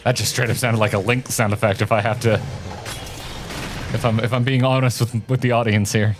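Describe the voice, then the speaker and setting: A man around his thirties or forties reacting casually and excitedly to game footage, with informal, opinionated commentary. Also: mid-range voice, fairly quick, music in the background. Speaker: co-host of a video game reaction show.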